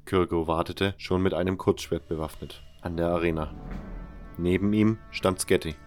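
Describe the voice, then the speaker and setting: A man reading scripted first-person narration for a fan fantasy audio drama in a measured, sober storytelling manner. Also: low voice, fairly even intonation, darkish tone, soft background music.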